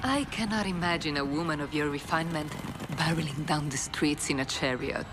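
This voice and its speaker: An actress playing a proud, ruthless crime boss in a video game cutscene, with a cold, formal, commanding manner. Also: female voice, deep voice, brisk, noisy background, lightly acted. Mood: cool politeness. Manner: imperious, cold, formal, threatening. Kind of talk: video game cinematic voice acting.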